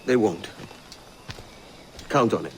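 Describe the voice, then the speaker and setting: An actor playing a roguish, driven pirate captain in a video game cutscene. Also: male voice, on the low side, slow pace, gravelly, a little mellow, slight background noise. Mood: wry.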